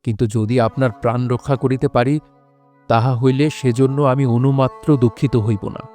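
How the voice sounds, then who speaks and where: A man's voice: medium pitch, fairly even intonation, moderate pace, warm tone, faint music behind, close to an everyday voice. A man playing a noble, loyal courtier in an audio drama, speaking formally and earnestly. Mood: earnest, insistent. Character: noble, loyal, humbly pleading male courtier. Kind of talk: Bengali audio drama acting.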